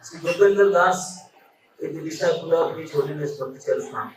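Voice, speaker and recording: A man translating at a temple ceremony, quickly repeating each phrase after the main speaker. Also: a little high, reverberant, low-quality recording. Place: open temple courtyard.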